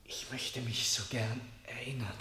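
An actor playing an anguished murder suspect in a radio play, pleading in an earnest, halting, brooding manner. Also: male voice, mid-range voice, flat delivery, pushed and bright. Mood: subdued, brooding. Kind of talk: German radio play acting. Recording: roomy, echoing studio radio play.

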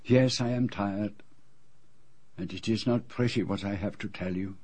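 A shrewd foreign detective in a radio drama, with a low, husky voice. He is grave and a little weary, choosing formal, deliberate words and pressing his point relentlessly. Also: darkish tone. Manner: formal, deliberate phrasing without contractions.

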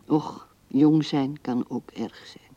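An actress playing an old widow musing tenderly and haltingly at her husband's grave in a radio drama, her soft voice often dropping to a hush. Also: very deep voice, fairly even intonation, dry recording, heavily acted. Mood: musing, wistful. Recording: dull old radio recording.

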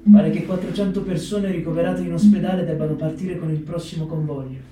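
An actor playing a compassionate wartime camp letter writer, in an expressive theatrical reading with dramatic pauses. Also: male voice, fairly high pitch, natural voice. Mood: sorrowful. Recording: echoey hall, distant mic, loud guitar. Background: live plucked guitar music.

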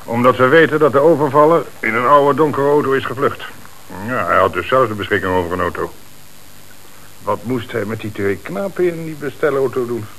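Male actor as brisk, sardonic Dutch police chief, authoritative, shrewd interrogator in a hissy old radio drama. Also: medium pitch, fairly bright tone, close to an everyday voice, low-quality recording. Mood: businesslike, decisive. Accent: Dutch from the Netherlands. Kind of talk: Dutch radio play acting.

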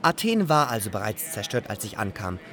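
An actor playing a young refugee with wry, fatalistic wit, narrating in a radio drama. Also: male voice, lowish voice, very animated, fairly quick, a bit bright, faint music behind. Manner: wry, ironic.

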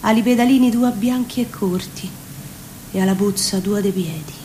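A woman with a Roman accent reciting a theatrical spoken-word monologue, deliberately, phrase by phrase. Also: mid-range voice, dark tone. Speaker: reciting performer. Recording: faint ambient background noise.

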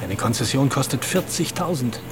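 A man's voice: medium pitch, animated, normal speed, background noise, close to an everyday voice. An actor playing a worried male shop worker who suspects his friend. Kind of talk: radio drama acting.

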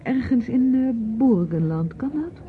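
Strained, evasive niece, very expressive. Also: female voice, fairly high pitch, unhurried. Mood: hesitant. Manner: hesitant, defensive. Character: evasive, exasperated niece in her thirties, polite but strained. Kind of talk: radio drama acting. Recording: muffled, noisy old radio play recording.

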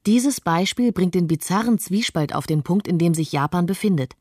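Female narrator for radio documentary: actress as an observant wife, composed and articulate, notably low and soft-toned, dry studio. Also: animated, normal speed, no room sound. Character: observant, composed wife recalling her flight from disaster. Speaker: narrator of a personal account.

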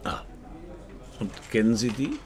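An actor playing a wry, probing private detective who narrates and questions in a German radio crime drama, dry and laconic, with a gravelly voice. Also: male voice, lowish voice, huge pitch swings, measured pace, darkish tone. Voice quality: gravelly, creaky.

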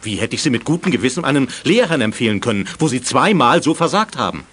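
A man's voice: medium pitch, big pitch swings, fast, fairly bright tone, slight background noise. A man playing a stern but fair middle-aged director of a youth village in a children's radio drama, speaking formally and with authority. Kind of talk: 1980s German children's radio drama acting.

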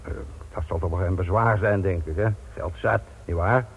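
Husky, low-voiced sardonic police inspector. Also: male voice, normal speed. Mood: firm. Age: middle-aged. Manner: sardonic, blunt. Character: dogged, sardonic middle-aged male police inspector, embittered by work. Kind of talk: Dutch radio drama acting. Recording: tinny narrow-band radio drama recording.

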